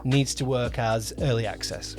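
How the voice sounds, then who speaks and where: Male podcast co-host, mid-pitched, casual and self-correcting, over music. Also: steady tone, normal speed, darkish tone. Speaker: podcast co-host, audio drama producer.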